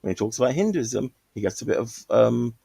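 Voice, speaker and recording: A man's voice: medium pitch, expressive, brisk, gravelly, dark tone, slightly rough, dry recording. A man calling in to a stream, talking casually and rambling with many fillers and restarts. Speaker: caller. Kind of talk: casual call-in chat.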